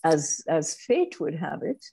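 An older woman from Grenada with a British-Caribbean accent, telling her life story in a measured, thoughtful way with frequent restarts, on a video call through earbuds. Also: low-pitched, big pitch swings, creaky, dark tone, breathy edge. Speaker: guest speaker. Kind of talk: reflective interview storytelling. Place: home room, indoors. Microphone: wired earbuds on a video call.

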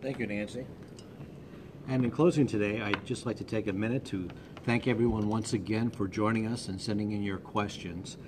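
Man, town hall host, halting, low voice, noisy. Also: steady tone. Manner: hesitant, halting closing remarks. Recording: noisy recording.

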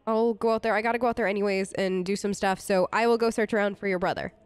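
A woman's voice: mid-range voice, steady tone, fast talker, smooth, dry recording, soft background music. A woman role-playing through a video game, speaking chattily in informal, in-character replies. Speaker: let's player.

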